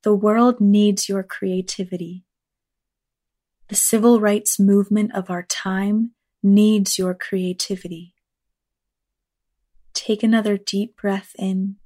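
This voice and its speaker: A woman leading a guided meditation in a measured, unhurried manner, reading scripted lines with long pauses. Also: mid-range voice, warm tone. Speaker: meditation guide, actor and teaching artist.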